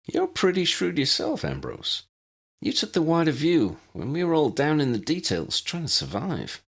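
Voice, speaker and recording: A man voicing a keen, excitable young female agent in an audiobook, eager and emphatic. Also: fairly high pitch, moderate pace, creaky, slightly rough, voice pushed up, full character voice. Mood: admiring.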